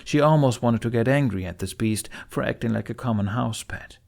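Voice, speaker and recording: A man's voice: mid-range voice, brisk, vocal fry, a little mellow. A man reading scripted fiction as an audiobook narrator.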